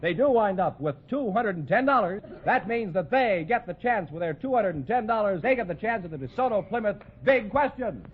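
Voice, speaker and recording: A man's voice: sits high, expressive, a little fast, few pauses. A man announcing contestants' scores live on an old radio quiz show. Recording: muffled old radio, noisy crowd, distant.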